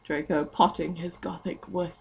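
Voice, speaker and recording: A woman's voice: on the low side, steady tone, normal speed, creaky, darkish tone, slight background noise. A young adult woman giving a mock dramatic reading with casual, sarcastic, giggly banter. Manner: giggly, sarcastic, swearing freely. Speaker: co-reader in a comic dramatic reading. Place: at home with a flatmate, on camera.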